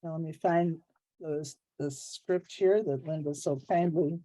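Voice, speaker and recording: A woman moderating an online meeting, reading closing announcements from a script in a measured, formal way. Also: deep voice, steady tone, dark tone, dry recording, low-quality recording. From Illinois, US.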